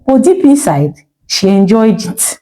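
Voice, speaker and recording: A woman's voice: mid-range voice, sing-song, unhurried, mellow, faint music behind. A woman with a Nigerian accent narrating a scripted story in a theatrical way, with emphatic short phrases and rhetorical questions.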